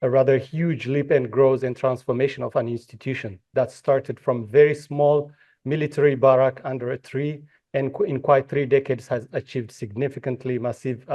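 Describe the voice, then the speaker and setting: A man's voice: mid-range voice, warm tone, highs cut off. A man from Ethiopia moderating a conference panel, speaking with frequent fillers and restarts. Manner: hesitant, many fillers and restarts. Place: conference hall.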